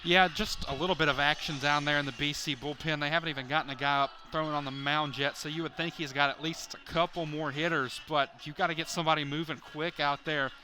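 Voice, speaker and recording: A man's voice: a little high, steady tone, quick pace, hardly any pauses, background noise. A man giving conversational color commentary on a live baseball game, often padding his analysis with "you know".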